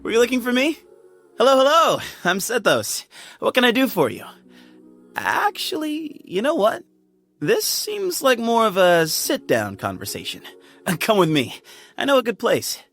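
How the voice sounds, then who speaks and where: An easygoing young desert leader. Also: male voice, extremely high pitch, moderate pace, gravelly, soft background music, full character voice. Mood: breezy. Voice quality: lively, breezy, chuckling. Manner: easygoing, friendly, teasing. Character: laid-back young man, desert temple leader, playful. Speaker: game character voice lines. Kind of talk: studio game voice acting. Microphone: studio mic.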